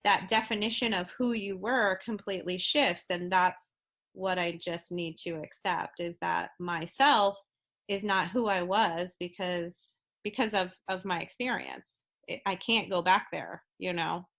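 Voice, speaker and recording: A woman in her late thirties, a podcast guest speaking in a halting, heartfelt way with many restarts and fillers. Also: fairly low pitch, fairly even intonation, a bit bright. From Florida. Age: 30s. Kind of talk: casual podcast conversation.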